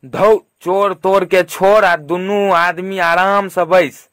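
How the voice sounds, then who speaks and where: A man's voice: high-pitched, little pitch movement, slow pace, very noisy. A man voicing a bumbling, lazy police inspector in an amateur cartoon comedy, with a Maithili accent. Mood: chummy, lazy. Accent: Maithili, from Mithila.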